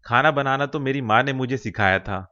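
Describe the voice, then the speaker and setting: A man's voice: mid-range voice, fairly quick, bright, forceful tone, close to an everyday voice. Man playing earnest young villager, dull recording. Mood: friendly. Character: ambitious, persuasive young village man, a loyal friend. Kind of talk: Hindi cartoon moral-story voice acting.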